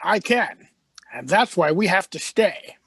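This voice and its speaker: Radio play: eccentric time traveler played by a woman, husky, halting, slyly evasive. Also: fairly low pitch, expressive, no echo, exaggerated voice. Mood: thoughtful. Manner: halting, musing. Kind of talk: live script reading, radio play.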